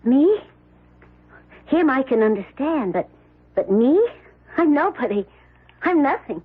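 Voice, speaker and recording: An actress playing a miser's young, insecure, aggrieved daughter in a radio drama, speaking in dramatic, halting phrases. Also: female voice, high-pitched, big pitch swings, full character voice. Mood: aggrieved, pleading. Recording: narrow-band old radio, faint music.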